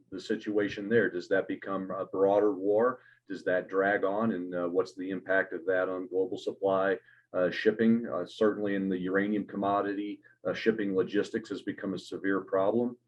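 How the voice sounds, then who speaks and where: Deep-voiced man on dull call audio. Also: level tone, darkish tone. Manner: measured and cautious, frequent "uh". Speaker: uranium company CEO, panelist. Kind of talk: online panel discussion.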